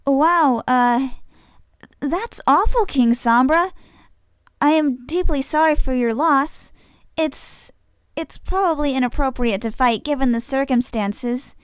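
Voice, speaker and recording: A woman's voice: high voice, slow pace, no room sound, voice pushed up. A woman voicing a polite, sympathetic young pony heroine with an earnest, slightly awkward manner in a story. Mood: awkwardly sympathetic.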